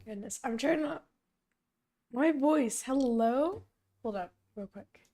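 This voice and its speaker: A young woman streaming a zoo-building game, thinking aloud and correcting herself as she goes, her voice slightly hoarse and fading. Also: sits high, animated, slow pace, mellow. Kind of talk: casual gameplay commentary.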